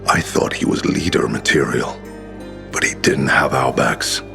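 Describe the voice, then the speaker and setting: An actor playing a bitter, blunt older resistance leader in a video game. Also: male voice, unhurried, full character voice. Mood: bitter, angry. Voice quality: deep, gravelly. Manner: blunt, sardonic, swearing. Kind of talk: video game character dialogue. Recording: music behind the voice.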